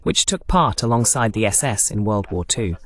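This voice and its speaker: A man's voice: fairly low pitch, expressive, moderate pace. A man hosting a political news broadcast, giving running commentary on war footage with pointed, sardonic asides.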